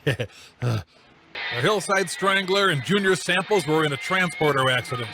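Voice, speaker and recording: A man riffing comically over a film with quick wisecracks. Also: on the high side, animated, over loud music. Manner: wisecracking, mock-indignant quips. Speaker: movie riffer.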